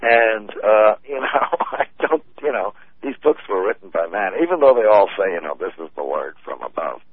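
A man whose first language is German talks in a low, husky voice, rambling through his points with lots of fillers and chuckles. The sound is thin and phone-like, completely dry. He chats casually as a guest on the show. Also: animated, moderate pace, no room sound. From United States. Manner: rambling, laughing, many fillers. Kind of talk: casual chat. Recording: tinny phone-line sound.

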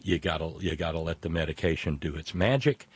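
A man in his late fifties talking candidly as a show host, halting yet emphatic, with folksy colloquial phrasing. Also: low-pitched, lively intonation, husky, no room sound. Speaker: show host taking a call. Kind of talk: candid personal conversation.

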